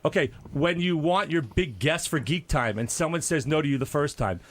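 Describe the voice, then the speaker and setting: A defensive man presses his coworker with pointed questions. His voice sits a little high and rises and falls with feeling. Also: animated, normal speed. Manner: insistent, defensive, interrogating. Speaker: radio show staffer. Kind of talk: heated office argument.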